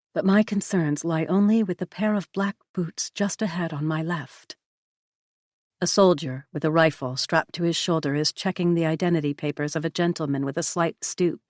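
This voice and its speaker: A woman narrating a novel in the first person, with a measured, even storytelling delivery. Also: fairly low pitch, no room sound, studio quality. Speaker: audiobook narrator.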